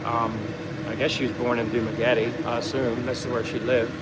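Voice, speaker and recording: A man's voice: medium pitch, level tone, moderate pace, heavy background noise. An American man hosting a casual vlog, talking in a rambling, easygoing way as he reads out viewer comments. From United States. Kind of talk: casual livestream chat.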